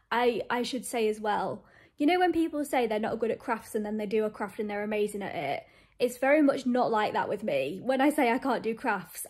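A woman's voice: on the high side, a little mellow. Chatty upbeat young woman vlogging at home. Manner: chatty, upbeat, laughs often. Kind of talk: casual chat to camera. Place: small flat living room and kitchen, indoors.

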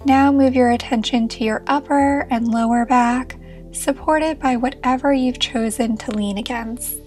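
A woman leading a guided meditation as a therapist, speaking in a gentle, reassuring and encouraging manner. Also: a little high, steady tone, unhurried, soft background music.

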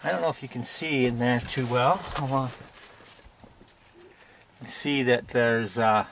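A man with a Canadian accent giving a casual, unscripted hands-on tutorial in a plainspoken, halting way. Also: mid-range voice, fairly bright tone, slight background noise. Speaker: DIY video presenter.

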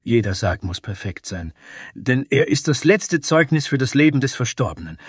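A man's voice: mid-range voice, big pitch swings, husky, no room sound, voice pushed up. Man voicing a proud dragonkin craftsman, dry sound. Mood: proud, helpful. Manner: earnest, deliberate, proud. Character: proud, sturdy male dragonkin carpenter, earnest craftsman.

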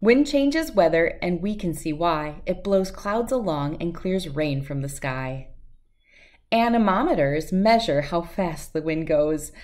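A middle-aged American woman speaking expressively and clearly as a host of children's storybook read-alouds. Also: on the low side, expressive, unhurried, a little mellow. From United States, lived in Wisconsin.

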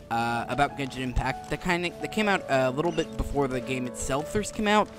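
A man giving casual, rambling commentary while playing a video game. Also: on the high side. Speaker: gaming commentator. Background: game music.

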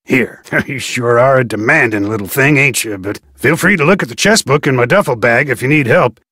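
An actor playing a gruff, blunt fisherman in a video game, folksy and dismissive. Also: medium pitch, brisk, sharp tone, dry recording, clean studio sound. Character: gruff fisherman and harbor master hopeful, blunt.

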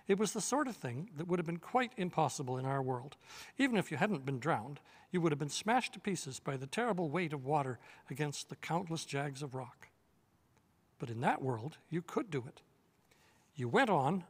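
An older man reading a children's novel aloud in a measured, expressive way, seated in an armchair on a studio set. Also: a little high, big-room sound. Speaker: reader.

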